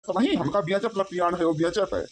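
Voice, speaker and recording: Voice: medium pitch, a little fast, slight background noise, natural voice. An actor voicing a grumbling, suspicious elderly village father-in-law in a comedy cartoon, rambling with a rustic Awadhi accent. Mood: accusing, complaining. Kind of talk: comic cartoon dialogue in village dialect.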